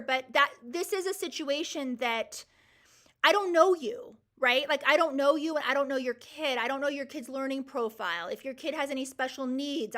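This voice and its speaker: A woman's voice: sits high, fairly bright tone. A woman in her forties hosting a live Q&A, answering viewer comments in an enthusiastic, emphatic and reassuring way. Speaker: host.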